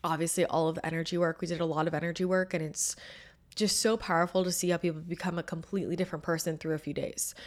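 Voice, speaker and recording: A woman in her thirties hosting her own show, hoarse and a little scratchy after losing her voice, talking in an upbeat, chatty way. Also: lowish voice. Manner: chatty and upbeat, with laughs and fillers. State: hoarse, recovering from lost voice. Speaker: podcast host. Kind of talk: casual solo chat.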